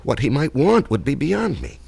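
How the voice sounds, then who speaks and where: An actor playing an anguished man with a hidden past, agitated and halting, in old-time radio drama style. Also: medium pitch, huge pitch swings, vocal fry, dark tone, breathy edge, heavily acted. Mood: troubled, frustrated. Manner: agitated, halting, emphatic delivery.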